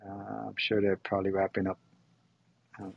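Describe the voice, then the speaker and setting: Man from puerto rico, gravelly, husky, casual host. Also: deep voice, moderate pace, lo-fi sound. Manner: relaxed, rambling, self-correcting. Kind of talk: casual moderated chat.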